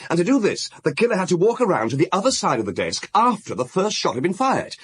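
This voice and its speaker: A man's voice: high-pitched, big pitch swings, quick pace, slight background noise. An actor playing an eager, deferential junior detective in a radio drama, keenly reasoning things out for his superior. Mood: keen, puzzled. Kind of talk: British radio drama acting.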